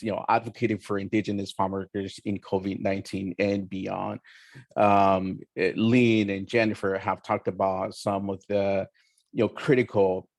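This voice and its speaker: Earnest young guy from oaxaca on a panel, native mixtec speaker, slow careful delivery, lowish voice. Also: dry recording. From Oaxaca, Mexico. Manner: measured and earnest, with frequent pauses and fillers. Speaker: community educator and roundtable panelist. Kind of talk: roundtable presentation.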